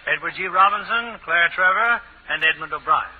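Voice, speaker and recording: High-pitched, polished vintage radio host. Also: male voice, moderate pace, breathy edge. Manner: polished, formal presenter delivery. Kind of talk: 1940s radio announcing. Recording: tinny, noisy 1940s radio broadcast.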